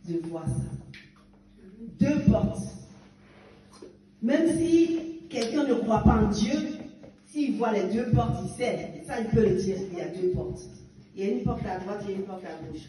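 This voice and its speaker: A woman's voice: mid-range voice, mellow, slight background noise. A woman in her fifties giving a fervent testimony and exhortation in church, with emphatic rising bursts. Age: 50s. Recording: echoey church hall picked up from a distance.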